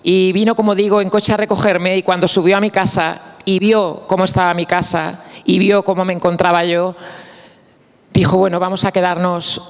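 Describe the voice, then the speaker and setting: A man from Spain gives a personal talk at a conference in a fairly high voice, slow and reflective, pausing and restarting as he thinks. His words ring out with a long reverb in the hall. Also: level tone. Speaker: guest speaker.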